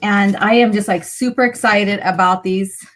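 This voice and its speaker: A woman with a slightly raspy voice hosting a casual live chat, talking to viewers in a chatty way with pauses and asides. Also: mid-range voice, fairly even intonation. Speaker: livestream host.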